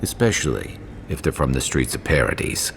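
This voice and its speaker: An actor playing a gruff, seasoned, pragmatic companion in a video game, talking in a dry, matter-of-fact way. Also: male voice, extremely low pitch, big pitch swings, fairly quick, a bit bright, big-room sound, a little hiss or hum, exaggerated voice. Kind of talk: scripted video game dialogue.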